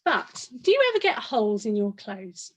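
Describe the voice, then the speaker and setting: A woman's voice: medium pitch, wildly swooping pitch, normal speed. A woman presenting a Bible story session, chatting directly to young children.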